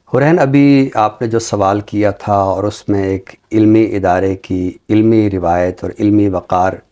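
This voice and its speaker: A middle-aged man with a Pakistani accent and a fairly low voice. He presents literature in a measured, formal way. He often repeats a phrase to drive a point home. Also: steady tone, mellow. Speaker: literary show presenter and book reviewer. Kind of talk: measured literary talk with poetry recitation.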